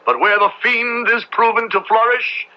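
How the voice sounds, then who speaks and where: A man's voice: very high voice, steady tone, moderate pace. A man playing a stern, righteous Puritan minister in old radio drama style, pompous and sermonizing in formal archaic speech. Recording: muffled, noisy old radio drama recording.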